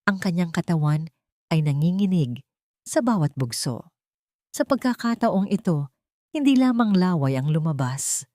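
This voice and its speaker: Filipino lady narrator, lowish voice, doing a scripted drama story, dry studio-like sound. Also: sing-song, a little slow, long pauses, warm tone, no echo.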